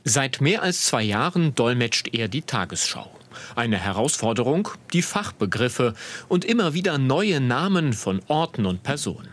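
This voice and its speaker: A man's voice: mid-range voice, big pitch swings, normal speed, a bit bright. A man with a standard German accent narrating a scripted TV report.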